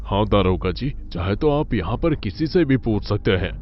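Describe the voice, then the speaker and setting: A man's voice: lowish voice, expressive, normal speed, natural voice. A man playing a humble, honest village eatery owner in a cartoon, speaking mildly and earnestly. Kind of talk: cartoon village tale acting. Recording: dull lo-fi track with music.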